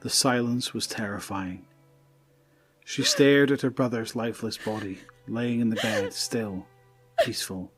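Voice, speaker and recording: Guy narrating audio drama, lowish voice, measured short phrases, music under it. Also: breathy edge. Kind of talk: scripted dramatic story narration.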